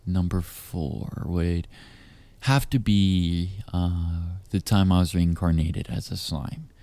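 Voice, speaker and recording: A man speaking in a hushed, teasing, deliberate way straight to the listener, as in an intimate audio roleplay, close on the mic. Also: low-pitched, steady tone, dry recording. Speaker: voice actor.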